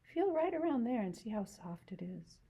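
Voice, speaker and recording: A woman's voice: a little high, big pitch swings, normal speed, warm tone, breathy edge, pitched above the performer's own voice. Woman doing a vain, teasing young ranch wife. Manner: teasing, coy, rural grammar. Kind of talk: audiobook reading.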